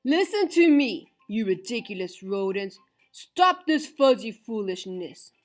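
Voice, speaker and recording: A woman voicing a mean, bossy male prairie dog in a storybook, with a booming, snarling growl. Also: very animated, measured pace, faint music behind. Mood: furious.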